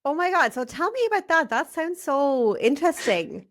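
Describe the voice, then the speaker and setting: A woman's voice: sits high, big pitch swings, moderate pace. Bubbly enthusiastic woman podcast host. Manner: bubbly, enthusiastic, laughs often. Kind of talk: conversational podcast interview. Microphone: studio microphone.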